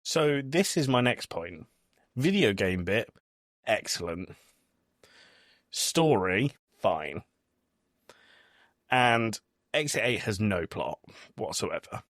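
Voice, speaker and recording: A man hosting a podcast, chatting casually about films in an animated storytelling way. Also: on the low side, takes it slowly, pauses often, dry recording, studio quality. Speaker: podcast host. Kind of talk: casual film review chat.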